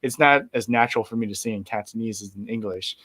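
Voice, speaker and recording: A middle-aged man as a guest musician in a casual interview, talking in a relaxed, rambling way with many fillers and restarts. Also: lowish voice, fast, background noise.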